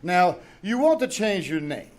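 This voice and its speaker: A man's voice: sits high, very animated, unhurried. A man acting a pompous, melodramatic radio-star husband in an old-time radio comedy style. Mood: mock-kindly preaching. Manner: melodramatic, grandiose.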